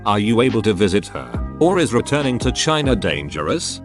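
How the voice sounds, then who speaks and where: Lively low-voiced male narrator. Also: normal speed, music in the background. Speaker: narrator reading online forum posts. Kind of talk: scripted narration.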